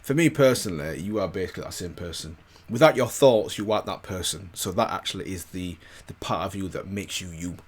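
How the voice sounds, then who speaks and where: Husky man giving casual reactions. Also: low-pitched, fairly quick, hardly any pauses. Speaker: reaction video host.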